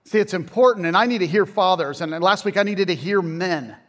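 A man's voice: high voice, brisk, a bit bright. A middle-aged man preaching a conversational sermon, casual and self-deprecating with joking asides.

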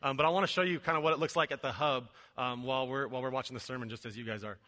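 A man's voice: medium pitch, fairly even intonation, rapid-fire, pushed and bright. Chatty preacher man in an echoing hall. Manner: chatty and joking, many fillers. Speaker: venue director and preacher. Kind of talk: casual church sermon. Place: large church auditorium. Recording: long hall reverb.

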